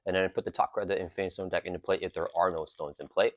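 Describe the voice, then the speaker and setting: A man with a very low voice talks loosely through an unboxing, full of "uh" and "um". His throat is sore and he has a cough drop in his mouth. The recording is dry and slightly dull. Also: very fast, dry recording. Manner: relaxed, rambling, many fillers. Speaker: channel host. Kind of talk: casual unboxing and card game talk.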